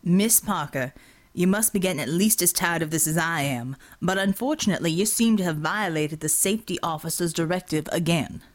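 A woman's voice: fairly low pitch, a little fast, lightly acted. A woman voicing a stern, exasperated male superhero leader in an audiobook, with a formal, reproving manner. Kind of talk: audiobook character dialogue.

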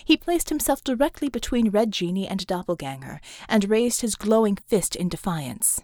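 A woman's voice: mid-range voice, expressive, moderate pace, darkish tone, no echo, clean studio sound. A woman narrating action fiction as an audiobook, reading scripted prose dramatically.

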